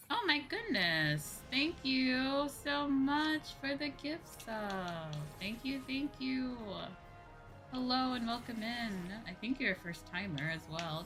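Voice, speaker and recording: A woman streaming a game and chatting casually, with a sweary, playfully whiny and emphatic manner. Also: medium pitch. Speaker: streamer, VTuber host.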